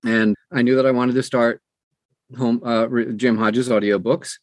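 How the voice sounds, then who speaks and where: A middle-aged or older man with a medium-pitched voice speaks as a guest in an interview. He tells anecdotes in a casual, conversational way and acts out what people said. The recording is dry, with little room sound. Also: fairly even intonation, normal speed, no echo. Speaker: interview guest, audiobook narrator.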